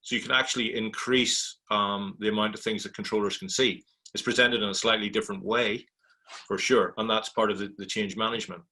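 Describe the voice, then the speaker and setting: Bright-voiced male panelist, fairly low. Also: fairly even intonation, a little fast, breathy edge. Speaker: technology provider, panelist. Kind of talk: explanatory panel discussion.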